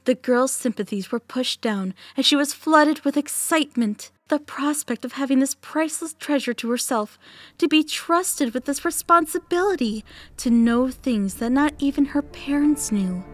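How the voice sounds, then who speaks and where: High-voiced woman narrating dramatically. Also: moderate pace, no echo. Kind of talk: scripted dramatic fantasy story narration. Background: music.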